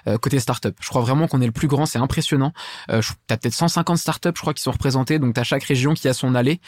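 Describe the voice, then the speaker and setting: A man's voice: medium pitch, quick pace, dry recording, clean studio sound. A young man from France, a startup founder talking in a casual interview, enthusiastic and slangy with plenty of fillers.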